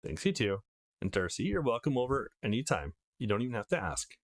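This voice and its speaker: A man's voice: mid-range voice, sing-song, brisk. A man voicing a sweet, welcoming mother in a visual novel, with a polite, cheerful manner. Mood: warm, motherly.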